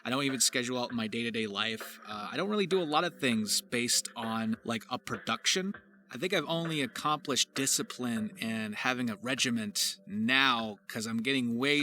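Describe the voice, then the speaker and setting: A man with a very bright, forceful voice at a middling pitch talks through his creative work as a voice actor and writer. His delivery is casual but emphatic, with long, effusive sentences. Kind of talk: casual question-and-answer behind-the-scenes commentary.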